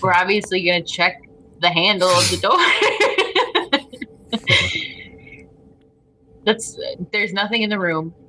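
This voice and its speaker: A woman playing an interactive fiction game as a guest, casual and wry, teasing the others and quick to laugh. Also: mid-range voice, animated, bright, forceful tone, slight background noise, rough recording. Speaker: podcast guest, game player. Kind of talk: casual improvised game play.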